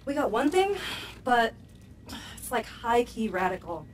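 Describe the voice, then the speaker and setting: A woman's voice: fairly high pitch, very animated, measured pace, recorded from a distance, a little hiss or hum. A woman playing a young, sardonic American hacker in a video game, casual, techy and slangy. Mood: exasperated. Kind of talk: video game cutscene acting.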